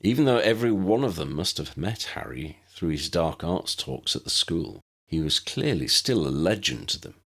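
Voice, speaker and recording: Husky low-voiced male storyteller. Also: sing-song, moderate pace, few pauses, fairly bright tone. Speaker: audiobook narrator. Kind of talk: scripted story narration.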